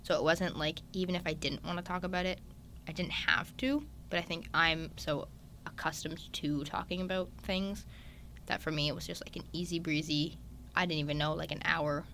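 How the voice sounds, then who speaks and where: A young man, a guest in a casual chat, talking openly and at length with lots of fillers and animated retellings. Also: fairly high pitch, fairly quick, vocal fry, slight background noise. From Newfoundland, Canada. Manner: chatty and open, many "like" fillers, animated retellings.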